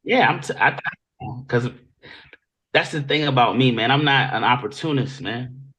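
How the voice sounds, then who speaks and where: An American man from Virginia speaks as a guest in a casual interview. His voice sits at a middle pitch, and he tells his stories in a loose, conversational way. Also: moderate pace, a bit bright, breathy edge, poor audio. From Virginia Beach, Virginia, United States. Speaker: interview guest, music industry veteran.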